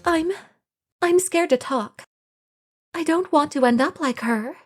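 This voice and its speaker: A high-pitched, flustered, evasive young secretary. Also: female voice, measured pace, soft background music. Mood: frightened. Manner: dramatic, stammering, defensive. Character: evasive, flustered, sulky young female secretary under questioning. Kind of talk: theatrical video game fan dub.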